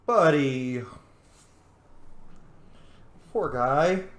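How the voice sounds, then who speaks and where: A man's voice: on the high side, lively intonation, slow pace. Casual sweary man in an echoey room. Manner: informal, sweary, reactive. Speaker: game streamer. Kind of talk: casual playthrough commentary.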